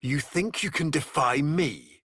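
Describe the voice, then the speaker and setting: An actor playing an imperious, bitter, tormented aristocratic game villain, theatrical and breathy, lingering on his words. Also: a little high, slow, a bit bright, no room sound, studio quality, heavily acted. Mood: scornful, menacing. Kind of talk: dramatic video game villain acting.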